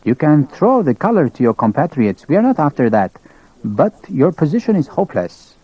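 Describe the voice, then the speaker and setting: A grave, courteous cavalry captain , as a man puts him on speaks gently and formally. The recording is a little dull, as on an old audiobook. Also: a little high, big pitch swings, normal speed, slightly rough, voice pushed up, full character voice. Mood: gentle. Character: polite grave French male cavalry captain.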